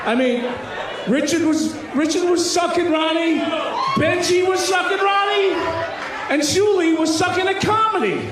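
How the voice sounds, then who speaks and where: Shouting man doing stand-up in echoey hall. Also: very high voice, moderate pace. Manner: shouting, loud stage delivery. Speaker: amateur stand-up comedian. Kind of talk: shouted stand-up comedy to an audience. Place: live music hall stage. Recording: echoey music hall, distant mic, noisy crowd.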